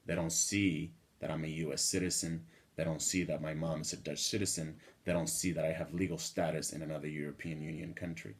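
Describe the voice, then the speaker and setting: A man in his thirties from the Dominican Republic speaks with a very low, deep voice. He talks straight to the camera in a small indoor room, earnest and building indignation as he makes his case. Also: little pitch movement, fairly quick. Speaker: vlogger. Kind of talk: direct-to-camera monologue.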